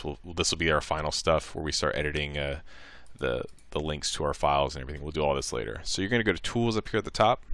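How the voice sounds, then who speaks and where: A man giving a casual, improvised software tutorial, talking through each click as he goes. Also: deep voice, smooth, no room sound. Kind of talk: casual step-by-step screen tutorial.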